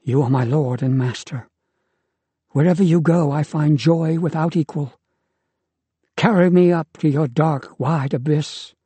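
A man voicing a devoted young woman, reading her lines in an earnest, measured way. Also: fairly high pitch, mellow, slightly rough, dry recording.

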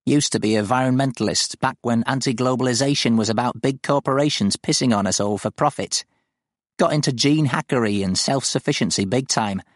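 A man's voice: mid-range voice, a little fast, dry recording, clean studio sound, voice pushed up, close to an everyday voice. A man voicing a shrewd, cagey old woman farmer in her seventies with rustic, colloquial phrasing. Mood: scornful, reminiscing.

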